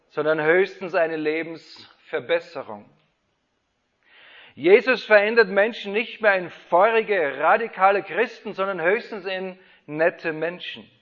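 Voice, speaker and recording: A man preaching an Easter sermon, reading scripture and building to fervent rhetorical questions. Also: high voice. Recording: dull lo-fi sound.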